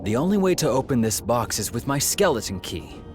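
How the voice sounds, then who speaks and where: A man's voice: medium pitch, sing-song, a little fast, darkish tone, natural voice. An actor playing a boastful mouse thief in a video game, a man with a grandiose, sly, blustering delivery. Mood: wheedling. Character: boastful, theatrical male mouse thief, self-styled prince. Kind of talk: video game cutscene acting. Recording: game music behind the voice.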